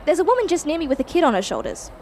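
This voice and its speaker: An actress with an Australian accent playing a curious, cheeky young time traveller in a radio play, narrating in a chatty, wide-eyed way. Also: female voice, sits high, big pitch swings, rapid-fire, background noise. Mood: amazed, excited. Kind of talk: radio play acting, breathless first-person narration.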